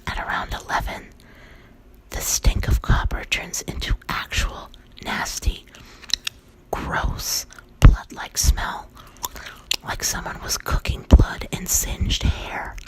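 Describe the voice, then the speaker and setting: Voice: slow pace, poor audio. A storyteller whispering a horror story throughout, in a loose, profane way.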